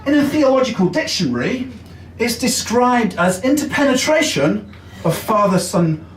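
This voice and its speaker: An English man with a fairly high voice performs a stand-up set, chatty and self-deprecating, often pausing on little fillers. His voice rings around an echoey basement club and sounds a bit far from the mic. A faint room hum sits underneath. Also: sing-song. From England. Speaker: stand-up comedian.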